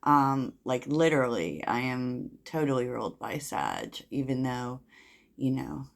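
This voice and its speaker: Soft-voiced, gravelly low woman. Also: sing-song, measured pace. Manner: soft-spoken, rambling, giggly, many fillers; chatty, laughs at her own jokes. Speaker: tarot reader. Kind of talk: casual tarot reading to camera.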